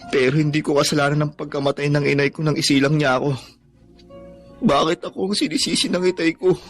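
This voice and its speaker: Devoted, suffering Filipino son. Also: male voice, on the high side, creaky. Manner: earnest, plaintive, respectful. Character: devoted, suffering, long-abused son who scavenges for junk. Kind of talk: Filipino radio drama acting.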